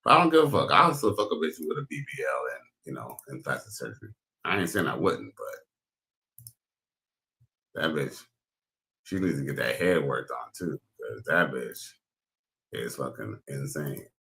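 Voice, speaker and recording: A man with a very deep baritone gives loud, crude, slang-heavy reaction commentary. His voice is low yet bright and forceful. He hosts the talk in a casual way. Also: lively intonation, moderate pace, vocal fry, pauses often. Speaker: commentary host.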